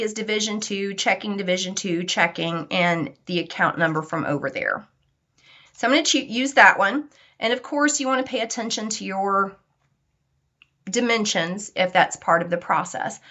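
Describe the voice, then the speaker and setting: A woman instructor walking through software step by step in an informal, conversational and instructive way. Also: mid-range voice.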